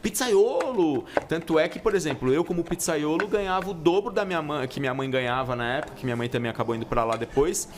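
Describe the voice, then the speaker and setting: A middle-aged man born in Italy, a guest pizza chef in a casual podcast chat, telling relaxed stories with slang and many restarts. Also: on the high side, quick pace, few pauses.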